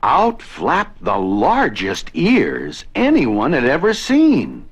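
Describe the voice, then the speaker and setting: A man narrating a children's read-along storybook in an expressive, deliberate storytelling manner. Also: fairly high pitch, slightly rough. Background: music.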